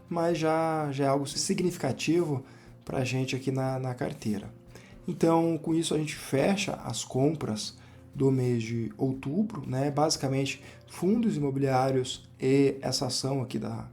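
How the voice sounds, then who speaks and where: A hoarse Brazilian man with a cold talks through his screen share in a relaxed, chatty way and keeps saying "né" and "pessoal". His voice sits mid-range with a mellow, dark tone. Soft music plays faintly behind him. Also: medium pitch. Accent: Brazilian Portuguese. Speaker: finance channel host. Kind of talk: casual screen-share commentary on investments.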